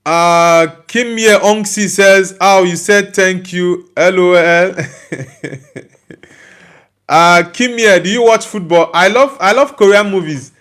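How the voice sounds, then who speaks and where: A man's voice: sits high, slow, fairly bright tone. A young man with a Nigerian accent hosting a live football stream, chatting loosely and reading out viewer comments with many fillers and restarts. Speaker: football channel host reading live chat. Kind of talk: casual live-stream chat.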